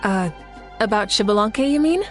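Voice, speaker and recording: A woman's voice: medium pitch, lively intonation, moderate pace, lightly acted. A woman voicing a confident, composed and playfully wry young leader in a video game, speaking with assurance. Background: game music.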